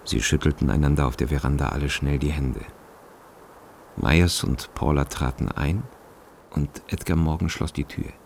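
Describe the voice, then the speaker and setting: Very deep, gravelly male radio narrator. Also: normal speed, darkish tone, a little hiss or hum. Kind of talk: scripted literary narration.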